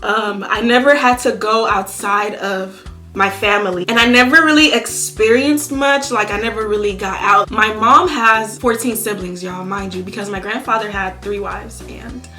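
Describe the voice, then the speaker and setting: A woman's voice: medium pitch, fairly even intonation, moderate pace, sharp tone, soft background music. A young woman from Nigeria chatting casually to her channel while she does her makeup, sassy and full of slang. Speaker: YouTuber. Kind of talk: casual storytime chat while doing makeup.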